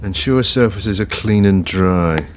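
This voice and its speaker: A man's voice: deep voice, measured pace. A man playing a stubborn, troubled investigator in a naturalistic radio drama, speaking hesitantly and half to himself. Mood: preoccupied. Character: stubborn, troubled male investigator, a doctor of memory. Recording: noisy location sound with vehicle ambience.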